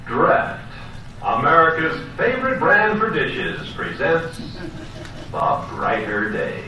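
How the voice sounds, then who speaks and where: A man's voice: a little high, very animated, takes it slowly. A man narrating an old-time soap opera as its announcer, solemn and theatrical in delivery. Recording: very echoey old broadcast, loud music, distant.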